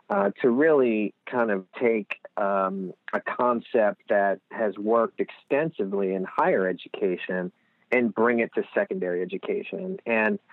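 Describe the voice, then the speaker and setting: A man with a Lehigh Valley Pennsylvania accent speaks as a guest over a tinny, phone-like line. His voice is fairly low and dry. He explains things steadily and earnestly, leaning on "you know.". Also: no echo. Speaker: guest, nonprofit executive director. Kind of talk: interview answers.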